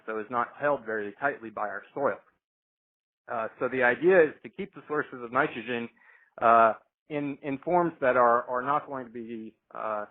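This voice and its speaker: A man giving an informal technical explanation as a products specialist, halting and repeating words as he goes. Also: mid-range voice. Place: conference call. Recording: muffled, band-limited conference call line.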